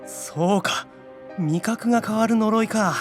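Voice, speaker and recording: A man voicing an excitable, geeky young male demon in a theatrical, exclaiming anime audio drama style. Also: high-pitched, slow pace, soft background music, pitched above the performer's own voice. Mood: incredulous.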